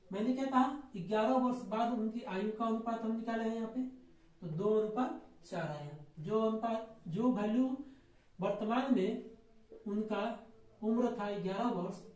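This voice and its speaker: A man's voice: very high-pitched, darkish tone. A man teaching maths step by step in an informal classroom style, repeating himself and answering his own questions. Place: echoey indoor room. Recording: very echoey room, distant mic.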